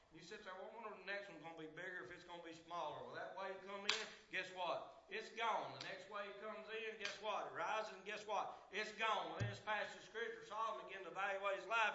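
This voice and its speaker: A man, preaching a fervent sermon in church, swinging from shouts to hushed tones. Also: high voice, dull audio. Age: 50s.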